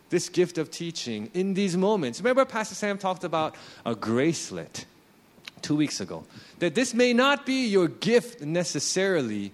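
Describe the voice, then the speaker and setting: Animated man in his late thirties preaching. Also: fairly high pitch. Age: 30s. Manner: conversational, self-deprecating, animated. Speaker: pastor. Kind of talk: sermon.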